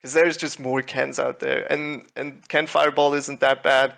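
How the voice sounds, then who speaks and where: A man's voice: medium pitch, flat delivery, moderate pace, creaky, fairly bright tone. A young man from Switzerland, a tournament winner speaking as a guest in a casual interview. He talks in a laid-back, humble way, full of fillers and restarts. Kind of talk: casual video-call interview.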